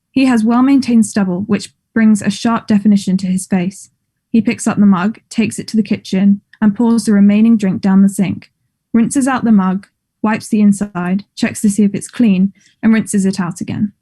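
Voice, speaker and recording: A woman narrates a play script at a table read. Her voice is mellow and mid-pitched, setting out scene descriptions and stage directions as plain scripted narration.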